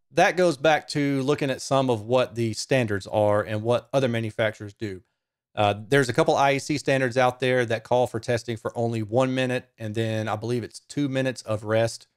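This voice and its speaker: A man in his forties talks viewers through technical material in a conversational, step-by-step way. His mid-pitched voice is captured close on a studio mic in a small room, very dry with little echo. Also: smooth. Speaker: host, speaker reviewer. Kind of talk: casual technical explanation to camera. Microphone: close studio microphone.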